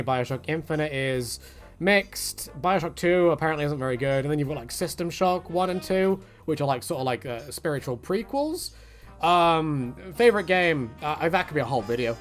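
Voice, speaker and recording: A man hosting a casual Q&A for his channel. He rambles with dry asides and self-deprecating jokes. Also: on the high side. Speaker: YouTube channel host. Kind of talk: casual Q&A monologue.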